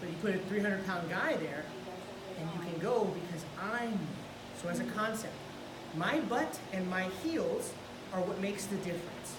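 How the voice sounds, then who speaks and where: A woman's voice: mid-range voice, lively intonation, normal speed. Woman coaching on a gym mat. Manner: encouraging, coaching step by step while grappling. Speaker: jiu-jitsu instructor. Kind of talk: hands-on technique teaching. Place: large indoor gym with mats. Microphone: camera far across the room. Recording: echoey gym from far off, noisy.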